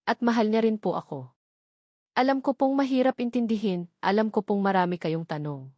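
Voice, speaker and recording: A woman's voice: mid-range voice, moderate pace, a little mellow, dry recording, clean studio sound, lightly acted. A woman playing a young daughter in love, earnest and resolute as she stands her ground in an audio drama. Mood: calm, pleading. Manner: earnest, pleading, firm.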